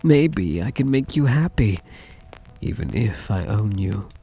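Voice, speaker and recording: A soft yandere mafia heir, acted by a man in an intimate ASMR roleplay, speaking slowly with a breathy, husky edge. His delivery rises and dips expressively as he coaxes, tender and possessive. Music plays quietly behind him. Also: fairly low pitch, very animated, heavily acted. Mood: yearning, possessive. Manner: tender, coaxing, softly possessive.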